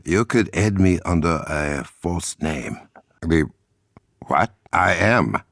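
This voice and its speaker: A composed, noble warrior king from a video game, speaking in a remarkably deep, husky voice with an African accent. His pitch moves expressively while his phrasing stays formal and measured, with a dry wit. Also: lively intonation, fairly bright tone, no room sound, exaggerated voice. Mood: reserved, puzzled. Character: dignified Wakandan king and warrior, composed, dryly witty. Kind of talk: video game character dialogue.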